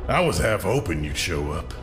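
A man's voice: low-pitched, very animated, moderate pace, fairly bright tone, faint music behind. An actor playing a scheming, menacing male villain who taunts with theatrical relish. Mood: taunting. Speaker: villain in audio drama.

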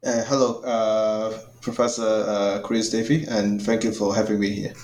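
A man's voice: fairly low pitch, little pitch movement, normal speed, darkish tone, slight background noise. Hesitant male academic. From Hong Kong. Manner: hesitant, frequent fillers, formal phrasing. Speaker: guest author, political science professor. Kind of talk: academic podcast interview. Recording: roomy echoey sound.

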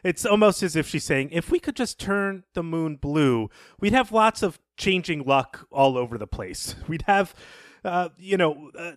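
A man's voice: on the high side, lively intonation, measured pace, no echo, studio quality. A man co-hosting a podcast, talking casually and analytically about literature with thoughtful phrasing. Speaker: podcast co-host.

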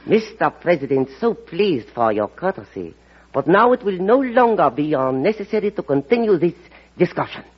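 High-voiced, courteous ambassador. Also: male voice, very animated, moderate pace. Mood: politely triumphant. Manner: polite, ceremonious. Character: courteous, formal Japanese ambassador, quietly triumphant. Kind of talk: old-time radio drama acting. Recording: dull, husky-sounding old radio recording.

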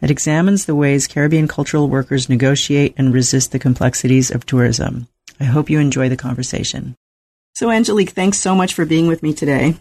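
Podcast hostess with dry sound. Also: female voice, extremely low pitch, mellow, no room sound. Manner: measured, slightly hesitant when asking. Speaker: podcast host. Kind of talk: scripted introduction and interview questions.

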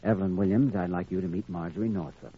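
Casting: man playing firm, earnest young physician, deep creaky voice, measured vintage radio acting, dull old recording. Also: steady tone, a little hiss or hum, close to an everyday voice. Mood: earnest, persuasive. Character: earnest, quietly firm young male doctor. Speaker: doctor.

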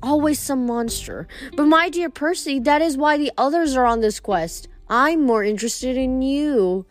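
A woman's voice: sits high, voice pushed up. A woman voicing a vain, gushing goddess of love who drawls and coos dramatically. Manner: breathy, drawn-out, dramatic gushing.

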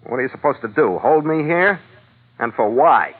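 An actor playing a hard-boiled, suspicious ship's skipper in old-time radio noir style, terse and sardonic. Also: male voice, medium pitch, animated, moderate pace, breathy edge. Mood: accusing. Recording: tinny vintage radio recording, faint music.

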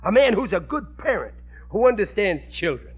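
A man's voice: high-pitched, sing-song, normal speed, breathy edge, exaggerated voice. An American actor playing a gullible, blustering, self-important working-class family man in 1940s radio comedy, folksy and ungrammatical. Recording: muffled lo-fi old radio recording.

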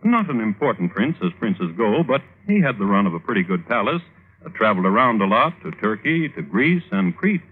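A man narrating a classic radio show with dry, wry understatement in a husky voice. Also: on the low side, big pitch swings, fairly quick, soft background music. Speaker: narrator, host. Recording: narrow-band old radio recording.

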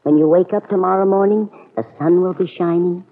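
Kindly aunt on tinny old radio. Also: female voice, fairly low pitch, brisk. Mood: reassuring, nostalgic. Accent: American. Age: middle-aged. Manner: soothing, genteel, reflective. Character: kindly, concerned middle-aged aunt, gentle and motherly. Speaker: radio actress. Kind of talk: classic American radio serial acting. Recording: noisy, narrow-band old radio recording.

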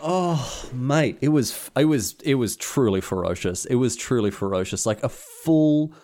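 A man's voice: mid-range voice, animated, a little slow, warm tone. An Australian man hosting a podcast, telling stories in casual comic chat and repeating phrases for emphasis. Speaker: podcast host.

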